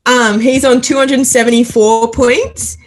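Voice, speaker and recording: A woman's voice: fairly even intonation, unhurried, a little hiss or hum. A young Australian woman co-hosting a casual rugby league podcast over a video call, chatty and laughing often. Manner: giggly, chatty, frequent laughter, high squeals when mimicking. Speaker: podcast co-host.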